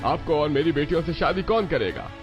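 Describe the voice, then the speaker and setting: Guy doing angry old village dad in a cartoon, scolding everyone, loud music behind. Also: on the high side, expressive, fairly quick, highs cut off, close to an everyday voice. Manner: scolding, accusatory. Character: stern, indignant older village father and elder. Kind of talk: dramatic Hindi cartoon story dialogue. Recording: loud music under the dialogue.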